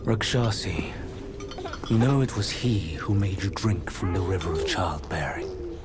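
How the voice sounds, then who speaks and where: An actor playing a calm, secretive envoy of a divine master, speaking in a measured, persuasive way in a game cutscene. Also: male voice, low voice. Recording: music behind the voice.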